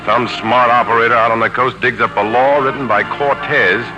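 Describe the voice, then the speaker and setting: A man's voice: mid-range voice, fairly even intonation, normal speed, lightly acted, rough recording. A male actor playing a self-assured, cajoling married lawyer in 1940s American radio drama, glib and commanding. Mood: confiding, boastful. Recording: vintage 1940s radio broadcast with music.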